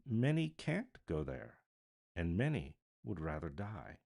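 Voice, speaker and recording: A man voicing a courteous, earnest gentleman collecting for charity in a storybook, speaking politely and formally with a pleading manner. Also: sits low, sing-song, takes it slowly, gravelly, full character voice. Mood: earnest appeal. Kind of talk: storybook character reading.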